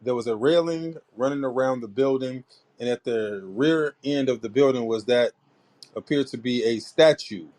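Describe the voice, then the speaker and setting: A man's voice: medium pitch, darkish tone. A man hosts a casual podcast in a mid-range voice. He rambles, jokes with his friends and drifts off track. Now and then he stops to read a book passage aloud, stumbling over it. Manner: loose, joking, rambling; reads passages aloud haltingly. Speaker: podcast host. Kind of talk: casual chat.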